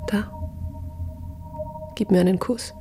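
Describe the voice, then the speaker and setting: A coarse, seductive woman in a radio drama. She drawls tauntingly in a dark, breathy voice that slips into half-whispers, with faint music behind her. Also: medium pitch, steady tone, slow pace. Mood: mocking, seductive. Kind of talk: German radio play acting.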